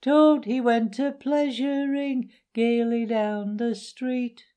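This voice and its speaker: A man voicing a gloating weasel chief in a high, squeaky voice, making mock-courteous speeches for a storybook. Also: slow pace, darkish tone. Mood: smug, mocking. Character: gloating weasel chief, mocking after-dinner orator and singer.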